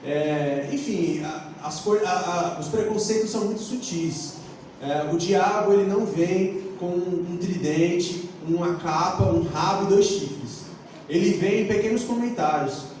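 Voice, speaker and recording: Brazilian man, high voice, casual panel storytelling, colloquial and halting, distant mic with heavy echo. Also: darkish tone. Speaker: forum panelist. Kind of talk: casual anecdotal panel talk. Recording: echoey room, distant mic, faint noise.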